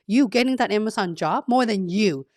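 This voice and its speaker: A woman from Vietnam, talking as a career coach to her live-stream audience in an energetic, effusive way with frequent restarts. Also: mid-range voice, normal speed, dry recording, studio quality.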